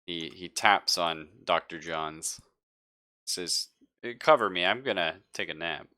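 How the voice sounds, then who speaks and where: A man narrating a horror tabletop game as game master, improvising in a hesitant, halting way. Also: deep voice, sharp tone.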